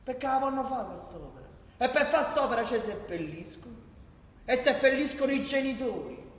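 An actor playing a bitter, sarcastic working-class resident in a radio play, indignant and speaking with a Roman accent. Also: male voice, extremely high pitch, big pitch swings, brisk. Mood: indignant, anguished. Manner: sarcastic, indignant, listing grievances. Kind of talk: theatrical radio play dialogue. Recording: echoey hall.

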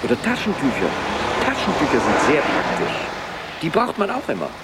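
A man's voice: mid-range voice, huge pitch swings, normal speed, gravelly. A man playing a garrulous, restless office colleague absorbed in his own chatter in a radio drama. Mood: chatty, fussing. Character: chatty, restless male colleague, fussing over gifts and prices. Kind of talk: 1970s German radio drama acting. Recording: urban street traffic noise behind voice.

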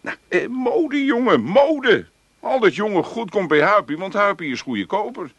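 A sly Amsterdam wheeler-dealer. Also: male voice, high voice, sing-song, measured pace, fairly bright tone, dry recording, heavily acted. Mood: persuasive. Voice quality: pushy, wheedling salesman patter with English words. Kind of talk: radio comedy play acting.

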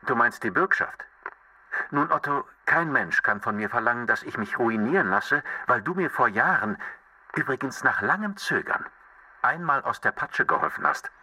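A man's voice: medium pitch, sing-song, moderate pace, pushed and bright, husky, no room sound, full character voice. An actor playing a cold, evasive bourgeois man on the telephone, condescending and politely dismissive, in a radio drama. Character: cold, evasive bourgeois brother-in-law, coolly self-serving. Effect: telephone filter. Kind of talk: German radio play acting. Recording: harsh, thin telephone line, distant.

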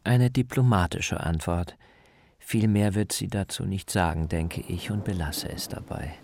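A man's voice: low voice, measured pace, vocal fry, a little mellow, a little hiss or hum. A man reporting and narrating a scripted radio documentary in the first person.

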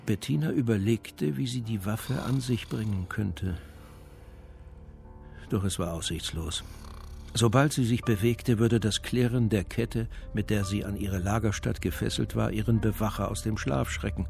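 A male narrator tells a crime story for radio in a composed, measured manner. His voice is lowish with a gravelly creak, and music plays clearly behind him. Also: a little mellow. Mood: composed suspense. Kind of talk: scripted narration of a crime drama.

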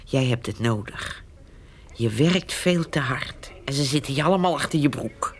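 An actress playing a weary, stubborn old farm mother in a radio play, halting and often breathy. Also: very deep voice, lively intonation, a little hiss or hum. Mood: hushed, insistent. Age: older. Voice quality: creaky, often breathy, near-whispering. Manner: slow, halting, insistent. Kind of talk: Dutch radio drama acting.

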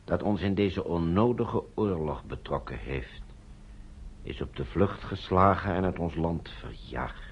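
An actor playing a stern, inciting propaganda voice in a radio drama, declaiming pompously. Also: male voice, sits low, expressive, measured pace, slightly rough, exaggerated voice. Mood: stern agitation. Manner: pompous, oratorical. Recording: noisy, dull old radio recording.

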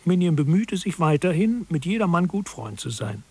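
A narrator in a satirical audio drama. His voice sits fairly high for a man. He tells the scripted material in an orderly, matter-of-fact way, as a co-author reporting findings. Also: very animated, normal speed, dark tone, slightly rough, no room sound. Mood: storytelling.

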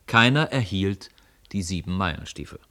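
A man narrating a radio drama as a storyteller, reading literary prose in a measured, articulate way. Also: sits low, lively intonation, sharp tone.

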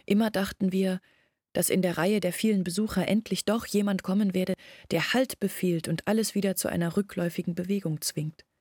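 Junge Dorffrau, knisternd raue Stimme. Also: female voice, lowish voice, darkish tone, no room sound, clean studio sound. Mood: bitter, intent. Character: troubled young village woman recounting her family's downfall. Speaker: actress narrating in a literary radio play. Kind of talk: dramatic first-person storytelling in a radio play.

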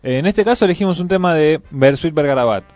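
Mellow Buenos Aires man hosting radio. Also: fairly high pitch, lively intonation, fast, dry recording. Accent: Buenos Aires Argentine. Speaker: radio show host. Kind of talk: casual chat introducing a segment.

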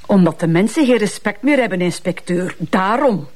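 A woman's voice: medium pitch, animated, moderate pace, loud music behind the voice, natural voice. A woman playing an older, loyal and stubborn housekeeper with a Flemish accent in a TV drama, curt and reproving. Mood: loyal, indignant. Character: stubborn, loyal old female housekeeper, prim and indignant. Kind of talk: naturalistic TV drama dialogue.

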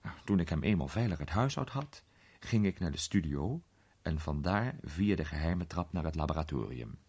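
Gentleman detective, very low pitch, rough husky tone, urbane storyteller, measured suspense, radio play. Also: lively intonation, full character voice. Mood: composed, confiding.

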